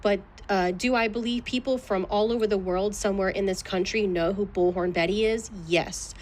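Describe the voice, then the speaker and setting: A woman's voice: mid-range voice, fairly even intonation, normal speed, darkish tone, a little hiss or hum. A woman answering questions in a legal deposition in a guarded, clipped manner. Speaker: plaintiff being deposed.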